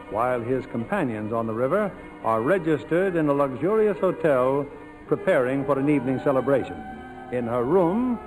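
A man's voice: medium pitch, rough recording. A man hosting and narrating a radio play in polished, formal 1940s broadcast style.

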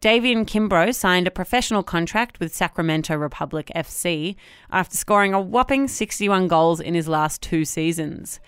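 Smooth, low-pitched Australian woman podcast host. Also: lively intonation, normal speed, dry recording, clean studio sound. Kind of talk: news podcast presenting and interviewing.

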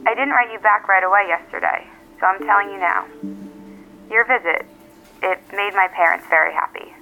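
A woman's voice: mid-range voice, normal speed, sharp tone, faint music behind. An actress playing a self-assured, blunt woman in an audio drama, heard through a telephone filter, dry and direct. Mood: pointed. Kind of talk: naturalistic audio drama acting. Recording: thin filtered telephone line.

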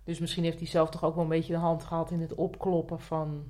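A woman's voice: low-pitched, monotone, quick pace, mellow. A woman co-hosting a podcast, chatting casually in an inquisitive, conversational way. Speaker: podcast co-host. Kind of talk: casual chat.

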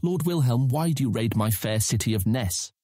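An actor performs a guarded young guildmaster in a fantasy audio drama. His mid-range voice has a husky edge. He chooses his words politely and carefully, recorded dry. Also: medium pitch, animated, moderate pace, dark tone, no room sound, lightly acted. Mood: polite, hesitant.

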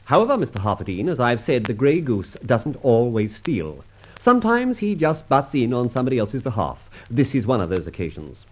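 An actor playing a suave, flippant gentleman highwayman in an old radio adventure serial, urbane and mock-formal. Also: very animated, moderate pace. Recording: dull old radio transcription recording.

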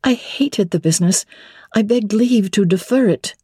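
A woman narrating classic literature as a dramatic audiobook reading, measured, expressive and theatrical. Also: mid-range voice, slightly rough, dry recording, clean studio sound.

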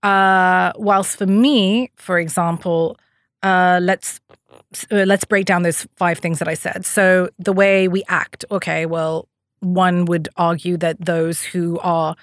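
A woman with a lowish voice, recorded close and dry, who thinks aloud as she speaks. She is a young adult from the Emirates and often pauses, restarts and fills gaps with "um" while building her points. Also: level tone, no room sound. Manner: thoughtful, hesitant, many fillers and restarts. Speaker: guest. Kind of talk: reflective interview conversation.

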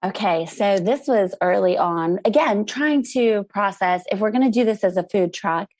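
A woman's voice: medium pitch, darkish tone, dry recording. An American woman talking casually as an interview guest, enthusiastic and chatty. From United States, grew up in the country. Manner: enthusiastic, chatty, quick to laugh. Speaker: interview guest, food truck operator.

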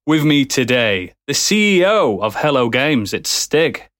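A man's voice: medium pitch, sing-song, measured pace, a bit bright, no echo, studio quality. A man hosting a podcast in casual chat, jokey and laughing often. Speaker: podcast host.

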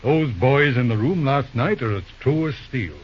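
Old folksy lawyer uncle on old radio, hems and haws, husky, kinda muffled sound. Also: male voice, mid-range voice, expressive, moderate pace. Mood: kindly, reasoning. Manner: avuncular, reasoning, hemming and hawing. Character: older bachelor judge and lawyer uncle, patient, folksy, shrewd. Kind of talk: old-time radio drama acting.

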